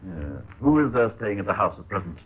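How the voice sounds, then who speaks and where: Low-voiced, formal doctor, played by an actor. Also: male voice, expressive, lightly acted. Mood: probing. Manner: formal, measured, precise. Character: measured, probing Victorian male consulting doctor, formal. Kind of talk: 1950s radio drama acting. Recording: tinny, phone-like old radio recording.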